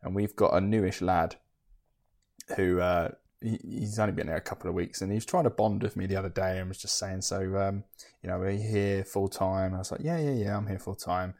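Twenties man, easygoing co-host, fairly low voice, self-deprecating laugh. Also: fairly even intonation, a little fast, gravelly, a little mellow. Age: 20s. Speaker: podcast co-host. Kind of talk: casual chat.

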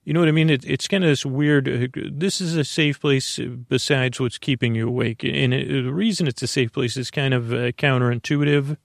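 A male podcast host with a very creaky voice, recorded close and dry. He rambles in a bedtime-intro style, halting, restarting and drifting into tangents. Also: mid-range voice, fairly even intonation, no echo. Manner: meandering, halting, stammering, tangential.

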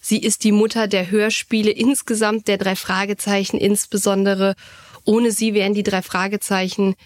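A woman's voice: medium pitch, little pitch movement, moderate pace. A woman co-hosting a podcast, speaking articulately and appreciatively in casual conversation. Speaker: podcast co-host.

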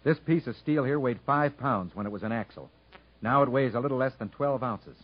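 A man acting a quiet, proud, plainspoken convict inventor who is polite but determined, in a 1950s radio drama. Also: mid-range voice, brisk, close to an everyday voice. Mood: earnest, determined. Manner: plainspoken, understated, earnest. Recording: muffled 1950s radio broadcast.

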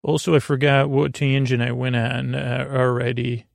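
A man with a noticeably creaky, mid-low voice hosts a bedtime podcast, recorded very dry. He meanders through an improvised monologue, restarting and drifting into tangents. His phrasing is whimsical and gently silly. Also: little pitch movement, no room sound. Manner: meandering, halting, repeats and trails off into tangents. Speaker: host of a bedtime sleep podcast.

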